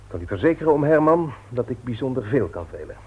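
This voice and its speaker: An actor playing an exasperated but restrained younger nephew in a Dutch radio play, politely argumentative. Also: male voice, mid-range voice, sing-song, fast, breathy edge. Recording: thin phone-like radio sound, noisy.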